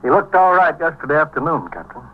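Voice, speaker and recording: Police sergeant, matter-of-fact, terse, 1950s radio drama, muffled recording, faint noise. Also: male voice, fairly high pitch, very animated, fast. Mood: reporting, deferential. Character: plain-spoken, dutiful male police sergeant.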